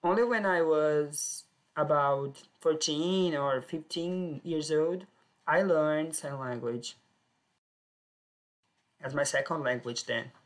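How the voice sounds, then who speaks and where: A woman telling her own life story in plain, simple sentences with short pauses. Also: sits low. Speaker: personal storyteller. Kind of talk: first-person life story.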